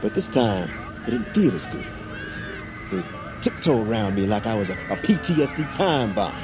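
A man's voice: lowish voice, sing-song, normal speed. An actor playing a brooding, middle-aged American war veteran and father who is unravelling, in an audio drama. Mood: wounded, agitated. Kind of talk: audio fiction drama acting. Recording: dramatic music under the voice.

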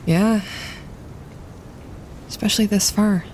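A woman playing a practical wildlife photographer on a winter camping trip in a naturalistic audio drama, speaking in a hushed, matter-of-fact, low-key way. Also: fairly even intonation, takes it slowly, close to an everyday voice. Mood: subdued, practical. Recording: clear outdoor ambience noise behind.

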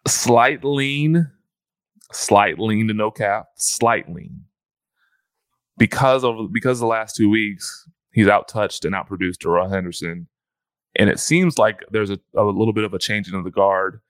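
A man's voice: lowish voice, unhurried, no room sound. A man co-hosting a fantasy football podcast in casual chat, often restarting his phrases.

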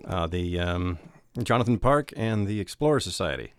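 A middle-aged man, a guest writer, reminiscing casually and haltingly as he thinks aloud. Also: sits low, lively intonation, clear, resonant tone, no room sound, studio quality.

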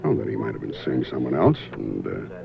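An actor playing a probing, wry private investigator in a 1970s radio detective drama. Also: male voice, very deep voice, huge pitch swings, fairly quick, gravelly, a crowd in the background, exaggerated voice. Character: probing, laconic male private investigator narrating his case. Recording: muffled radio recording under loud music.

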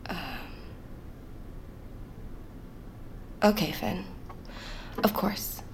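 Capable personal assistant, courteous, stressed, medium pitch, clear background noise. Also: female voice, slow pace, low-quality recording. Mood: hesitant. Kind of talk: naturalistic audio drama acting.

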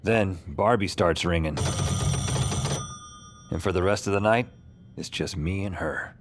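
An actor playing a haunted, wry male narrator in audio fiction, with a deadpan, weary, confessional delivery. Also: low-pitched, a little slow, very noisy. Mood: brooding. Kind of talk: first-person noir-like audio fiction narration. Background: music under the voice.

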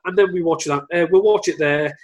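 A man's voice: on the high side, fairly quick. A man chatting casually about sport on a podcast, with a wry, self-deprecating humour. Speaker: podcast co-host or guest.

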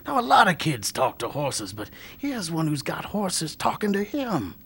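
A man's voice: a little high, wildly swooping pitch, measured pace, fairly bright tone, husky, heavily acted. A man playing a wheedling old grave digger. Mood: scheming. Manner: wheedling, chuckling, hesitant fillers. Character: sly older male grave digger, ex-jockey. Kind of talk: radio drama acting.

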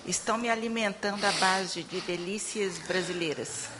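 A woman interpreting a sermon into Portuguese and reading scripture aloud in a steady, measured way. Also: mid-range voice. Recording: long hall reverb with faint room noise.

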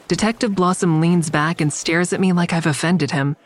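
Actress as a very low-voiced female narrator. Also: steady tone, moderate pace, dry recording, lightly acted. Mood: observant. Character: observant, wry, secretive woman narrating her own story. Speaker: narrator and lead character. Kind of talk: first-person audio drama narration.

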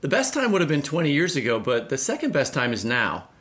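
A man's voice: medium pitch, wildly swooping pitch, fast talker, a bit bright. A man hosting a discussion podcast, introducing guests and posing questions in a presenter's style. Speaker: podcast co-host.

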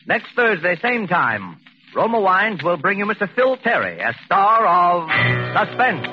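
A man's voice: high-pitched, big pitch swings, measured pace, husky, faint music behind. A man making programme announcements in 1940s radio style. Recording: muffled 1940s radio recording.

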